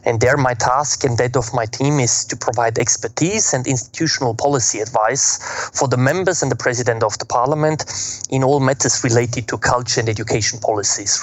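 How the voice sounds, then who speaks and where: Formal man. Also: mid-range voice. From Austria. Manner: formal, orderly and precise. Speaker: guest policy advisor. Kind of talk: interview answers.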